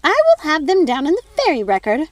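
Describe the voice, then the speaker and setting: High, huffy fairy godmother. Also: huge pitch swings, a little fast, no room sound. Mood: furious. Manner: indignant, theatrical, officious. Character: prim, huffy, bossy old fairy godmother.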